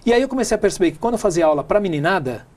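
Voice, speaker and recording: A man's voice: sits high, animated, very fast, darkish tone. A middle-aged man with a São Paulo Brazilian accent, telling his story conversationally as an interview guest. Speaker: interview guest, tech speaker and businessman.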